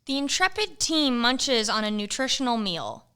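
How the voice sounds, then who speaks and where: A woman narrating a comic student radio play in a brisk storybook manner. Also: a little high, sing-song, background noise.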